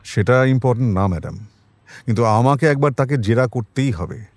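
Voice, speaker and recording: A man playing a composed police detective in an audio drama, questioning politely but pointedly in a measured manner. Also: medium pitch, darkish tone, dry recording, lightly acted. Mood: calm, firm.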